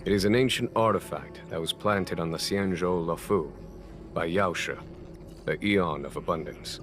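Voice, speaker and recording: An actor playing a calm, wry military general in a video game, speaking in a measured, formal and courteous way. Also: male voice, low-pitched, natural voice. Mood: solemn storytelling. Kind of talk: scripted video game dialogue. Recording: background music under the voice.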